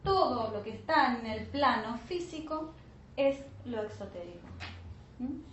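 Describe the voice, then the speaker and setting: A woman with a fairly high voice gives an explanatory lecture, checking in with her students through short questions. The recording is roomy and echoey, with a slightly dull sound. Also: expressive, a little slow. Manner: didactic, frequent check-in questions. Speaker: teacher of an online spiritual alchemy course. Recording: roomy echo, dull lo-fi sound, faint noise.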